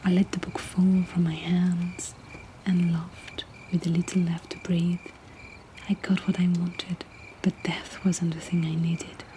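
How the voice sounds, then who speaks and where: A woman reading poetry in a hushed, breathy whisper, with measured and deliberate delivery. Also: fairly low pitch, level tone, a little hiss or hum, low-quality recording. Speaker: poetry reader and host.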